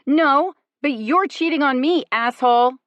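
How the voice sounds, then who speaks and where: Reader doing a breathless, shrill young woman, impulsive and hot-tempered. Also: measured pace, fairly bright tone, no room sound, highs cut off. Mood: furious.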